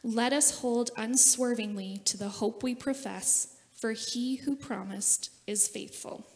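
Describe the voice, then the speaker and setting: A woman's voice: a little high, a little slow, a little mellow. Echoey woman reading a church prayer. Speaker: church member leading prayer. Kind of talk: scripted prayer read aloud. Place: large church sanctuary, at a lectern. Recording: echoey church hall, long reverb.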